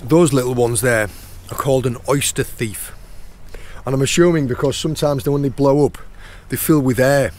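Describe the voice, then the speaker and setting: Croaky middle-aged man outdoors. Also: medium pitch, moderate pace, darkish tone, slight background noise. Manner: chatty, points things out as he goes. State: hoarse, croaky sore throat. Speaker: channel host and foraging guide. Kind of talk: casual outdoor explaining while foraging. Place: outdoors on a rocky shore in the rain.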